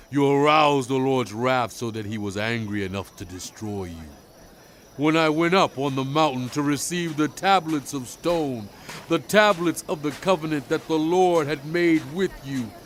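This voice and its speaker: A man's voice: medium pitch, slight background noise, lightly acted. A male actor playing a stern prophet addressing his people in a dramatized scripture reading, with grave, emphatic oratory. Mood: stern, solemn. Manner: grave, deliberate, emphatic phrasing.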